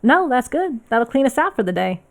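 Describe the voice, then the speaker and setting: A woman's voice: sits high, big pitch swings, brisk, pitched above the performer's own voice. Woman acting a cheerful lady shopkeeper. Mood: brisk, helpful. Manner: cheerful, welcoming. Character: cheerful, brisk female bakery shopkeeper.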